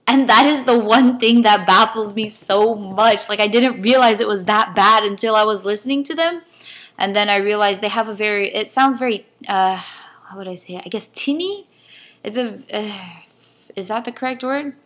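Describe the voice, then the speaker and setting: A young woman speaks in a Californian accent flecked with a Chinese-immigrant lilt, her voice sitting at an ordinary middle pitch. She talks in a loose, thinking-aloud way, pausing on ums and trailing off mid-thought as she rants to her camera. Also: fairly bright tone. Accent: California, mixed with a Chinese-immigrant lilt. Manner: rambling, hesitant, thinking aloud with many ums. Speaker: vlogger. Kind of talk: casual rant to camera.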